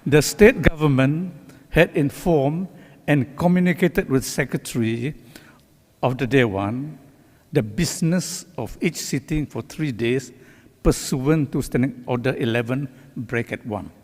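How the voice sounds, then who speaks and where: A man's voice: mid-range voice, unhurried. A man with a Malaysian accent presiding over a legislature, giving formal procedural rulings in a firm, official manner. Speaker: assembly speaker presiding. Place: large legislative chamber. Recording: echoey hall with long reverb.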